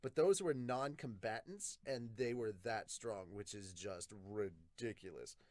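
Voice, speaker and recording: A man giving a casual, blunt reaction and review as a podcast host, animated and free with swearing. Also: fairly low pitch, unhurried, dry recording, studio quality.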